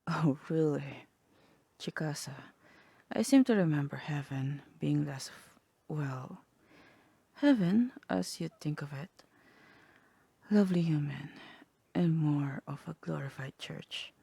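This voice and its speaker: Low, husky, sly demon voiced by a woman. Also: takes it slowly, pauses often, mellow, no echo, exaggerated voice. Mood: wry, mocking. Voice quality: low, husky, half-whispered. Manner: languid, wry, teasing. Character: sly, teasing demon tempting a human toward hell. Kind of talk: ASMR roleplay voice acting.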